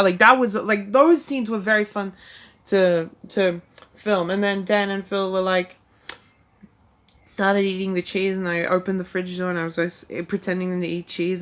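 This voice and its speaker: A woman vlogging in a loose, rambling way, her sentences full of "like" and "um." She looks back on her own channel with a self-deprecating edge. Her pitch sits in an ordinary middle range. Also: fairly bright tone. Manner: rambling, heavy use of "like" and "um". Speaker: YouTuber reviewing her own videos. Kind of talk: casual rambling vlog.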